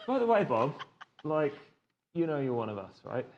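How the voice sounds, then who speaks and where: Blunt, chummy male vampire, acted by a man, gravelly fry, dull noisy live tabletop recording. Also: medium pitch, sing-song, moderate pace, a little mellow. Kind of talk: improvised tabletop roleplay.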